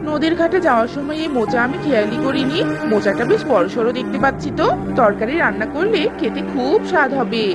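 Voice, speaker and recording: A woman voicing a gentle little mother bird in a fairy-tale cartoon, earnest and exclamatory. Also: sits high, animated, a little fast, over loud music. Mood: pleased. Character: gentle motherly little tailorbird, anxious but brave.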